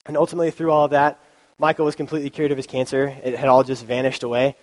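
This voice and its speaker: A young man gives a relaxed youth sermon into a microphone, a little stuffy from a cold. His delivery is informal and conversational, full of fillers and asides. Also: mid-range voice, rapid-fire. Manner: informal, chatty, many ums and likes. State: has a cold, sniffly. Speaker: youth pastor. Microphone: handheld or stand mic.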